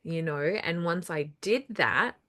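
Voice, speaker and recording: A woman with a somewhat low-pitched voice talks straight to her viewers, casual but earnest. She leans on repeated words to drive points home and sounds reassuring. A slight lingering cough from recent throat trouble stays with her. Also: animated, slow pace, sharp tone. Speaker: numerology channel host. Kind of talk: casual solo talk to camera.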